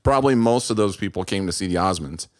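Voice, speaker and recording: A man with a fairly low voice, recorded very dry with no room sound. He talks casually as an interviewer, conversational in his questions and comments. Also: expressive, fast, no room sound.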